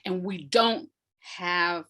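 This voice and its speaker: A woman's voice: on the low side, extremely slow, sharp tone. Emphatic woman explaining in an interview. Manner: emphatic, repeats phrases for effect, laughs often. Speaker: interview guest, audio drama maker. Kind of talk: animated explanatory interview talk.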